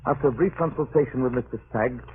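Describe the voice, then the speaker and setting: An actor playing a solemn freighter captain, narrating with measured, literary intensity in a 1930s radio drama. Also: male voice, medium pitch, lightly acted. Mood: grave, weary. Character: grave, dramatic male freighter captain recounting disaster. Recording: scratchy, narrow-band 1930s radio broadcast.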